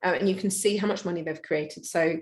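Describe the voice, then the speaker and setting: Low-voiced woman presenting with slides. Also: steady tone, brisk, darkish tone. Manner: conversational, frequent fillers and restarts.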